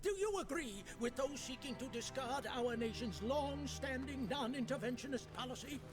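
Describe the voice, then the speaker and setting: A man's voice: very high voice, moderate pace. An actor playing a pushy, needling newspaperman in a game cutscene, sardonic and theatrical. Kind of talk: dramatic game cutscene acting. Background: music.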